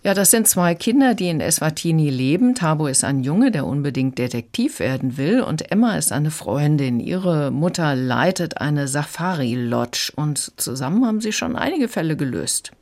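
A woman's voice: low-pitched, animated, normal speed, few pauses, darkish tone. A woman with a standard German accent giving a prepared, conversational review as a radio critic. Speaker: audiobook reviewer in studio conversation.

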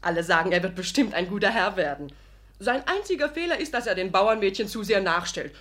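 A woman's voice: mid-range voice, very animated, fairly quick, a bit bright. An actress playing a chatty, saucy young serf maid in a German radio drama, gushing and rambling as she talks. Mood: gushing, defiant. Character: cheeky, chatty young serf maid, loyal and fun-loving.

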